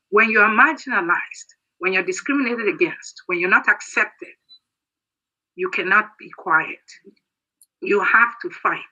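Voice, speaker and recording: Earnest man in his sixties, bright. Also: high-pitched, dull audio. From Nigeria. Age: 60s. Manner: earnest, emphatic, halting at times. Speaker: guest speaker. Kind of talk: prepared speech.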